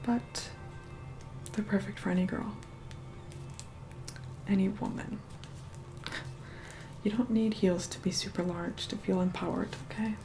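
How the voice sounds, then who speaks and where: A woman playing an aloof, reluctantly caring vampire woman in an ASMR roleplay, soft-spoken and breathy, often whispering, slow and condescending. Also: medium pitch, fairly even intonation, close to an everyday voice, rough recording. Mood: bossy, aloof. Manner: slow, condescending, grudgingly kind. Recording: loud music behind the voice. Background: music.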